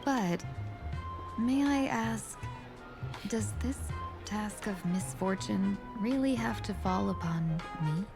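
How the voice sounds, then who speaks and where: A woman's voice: medium pitch, lively intonation, takes it slowly, gravelly. A woman voicing a composed, weary young fox-woman envoy in a video game, speaking formally and with theatrical measure. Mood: composed, probing. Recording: music behind the voice.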